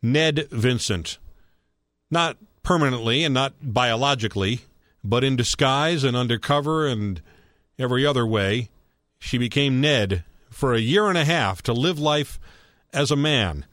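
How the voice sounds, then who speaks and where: A man's voice: mid-range voice, expressive, unhurried, fairly bright tone, no echo. Male talk radio host, joking and conversational, dry close studio sound. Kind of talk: radio interview.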